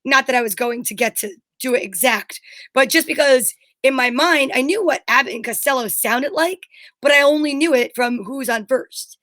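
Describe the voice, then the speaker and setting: A woman with a high voice chats informally as a co-host, close and dry in sound. Her delivery is conversational and agreeable. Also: moderate pace, a bit bright, dry recording. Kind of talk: casual chat, reading out viewer comments.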